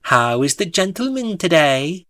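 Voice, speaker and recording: A man's voice: on the high side, animated, measured pace, bright, forceful tone, no room sound, clean studio sound. A man voicing a cheery, dreamy, genteel woman in a chirpy, gushing, sing-song manner for a story. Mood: sweetly fussy.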